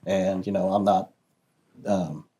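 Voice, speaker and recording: Male guest, low mellow voice, soft and reflective, frequent fillers. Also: steady tone, takes it slowly. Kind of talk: casual discussion.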